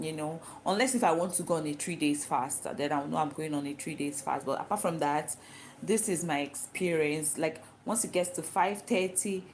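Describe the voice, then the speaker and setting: Nigerian woman vlogger with an Igbo first language, low-pitched voice, casual and talkative, walks through her reasons point by point. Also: a little mellow, slight background noise. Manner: chatty and explanatory, asks herself questions and answers them. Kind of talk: casual talk to camera.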